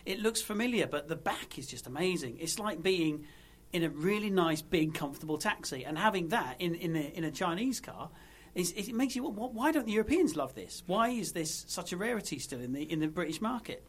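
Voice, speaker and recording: Quick, slightly high male co-host, eager repeats. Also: expressive, slightly rough. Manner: fast, stumbling over repeated words. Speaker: podcast co-host. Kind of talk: casual podcast chat.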